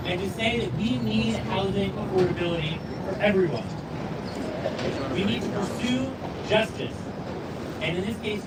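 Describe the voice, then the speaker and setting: A faith speaker giving an earnest, measured speech at an outdoor rally. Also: medium pitch. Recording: distant mic with loud street noise.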